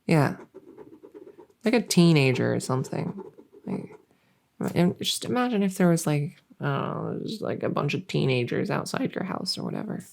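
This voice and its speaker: Friendly female monster under the bed, played by a woman, silly ASMR roleplay: mellow, low, soft-spoken, playful rambler. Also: lively intonation, normal speed, gravelly, a little hiss or hum, full character voice. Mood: musing, playful.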